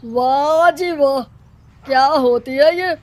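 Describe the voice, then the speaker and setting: A bullying village headman from a cartoon tale acted by a woman. The voice is high-pitched, stern and domineering. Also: slow. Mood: scornful, threatening.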